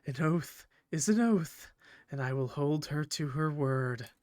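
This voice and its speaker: A man voicing a possessive, coldly polite villain from a visual novel, speaking formally and theatrically in measured, condescending phrases. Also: on the high side, animated, darkish tone, dry recording, studio quality. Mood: brooding, solemn. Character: possessive, coldly polite male villain, eerie train conductor. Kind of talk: visual novel character reading.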